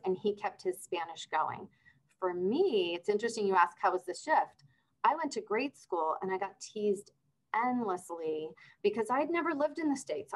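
A woman's voice: mid-range voice, poor audio. A middle-aged woman, born in Argentina, telling her life story as a guest on a video-call interview. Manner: upbeat, explanatory, storytelling. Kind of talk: casual interview talk. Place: office with window blinds.

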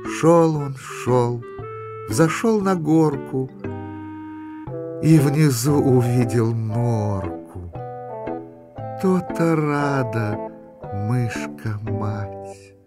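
A man narrating a rhymed fairy tale for children in an expressive, sing-song manner. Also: mid-range voice, drawn-out words, pauses often, over music. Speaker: storyteller.

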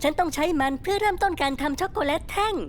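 A woman's voice: very high voice, rapid-fire, slight background noise. A woman voicing a cheerful female chocolate bar in a cartoon dub, sweet and bubbly as she explains things step by step. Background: cartoon music.

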